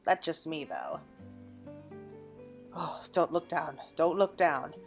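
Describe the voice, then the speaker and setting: A woman's voice: sits low, sing-song, slow pace. Woman gamer chatting over game music. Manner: chatty, reacts aloud to the game. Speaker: gamer recording a playthrough. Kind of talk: casual game commentary, reading some dialogue aloud.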